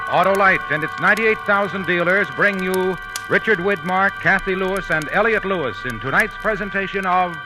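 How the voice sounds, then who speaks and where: High proclaiming male announcer, loud music. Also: normal speed, poor audio. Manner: formal, proclaiming. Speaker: radio show announcer. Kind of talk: 1950s radio sponsor introduction.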